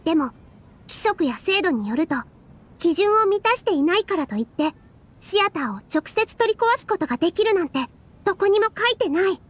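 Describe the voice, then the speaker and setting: An anime game dancer girl in her young adult years, super high voice, earnest and polite, arguing carefully. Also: sing-song. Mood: calm, firm. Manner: earnest, polite, measured debating. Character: earnest, soft-spoken young woman dancer defending her theater.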